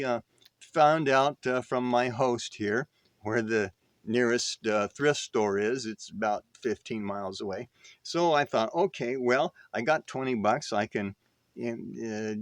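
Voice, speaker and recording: A man's voice: mid-range voice, no room sound. An older man in his sixties or beyond tells a casual, rambling story as a vlogger, in a folksy, self-deprecating way. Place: inside an RV.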